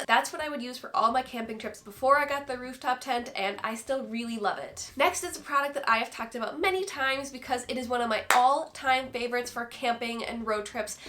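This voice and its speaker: High-voiced young woman, stuffed-up nasal vlog. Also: pushed and bright. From Minnesota, USA. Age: 20s. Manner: chatty and enthusiastic. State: stuffed-up nasal voice after illness. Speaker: vlogger. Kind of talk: casual product review vlog.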